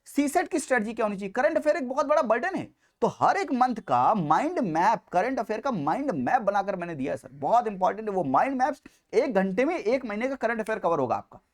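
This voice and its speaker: A man's voice: high-pitched, sing-song, fairly quick. A man with an Indian accent teaching as an exam coach, lecturing emphatically and repeating key points in mixed Hindi and English.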